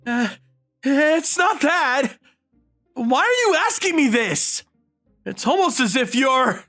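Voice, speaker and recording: Man voicing a flustered, high-pitched anime schoolboy. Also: takes it slowly, reverberant, in a large hall, soft background music, heavily acted. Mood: panicked. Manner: animated, easily flustered, incredulous. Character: flustered teenage schoolboy in a romantic comedy. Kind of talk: anime-style comic dub, dialogue and inner monologue.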